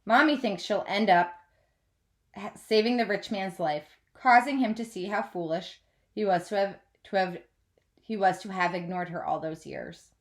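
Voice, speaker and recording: A woman reading a children's novel aloud as a teacher would, in an expressive storytelling style. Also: mid-range voice, moderate pace.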